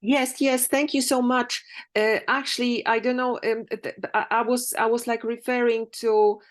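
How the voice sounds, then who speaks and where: Polish woman asking a question on a call, bit high voice, stops and restarts a lot. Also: flat delivery. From Poland. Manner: hesitant, halting with many restarts. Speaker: workshop participant asking a question.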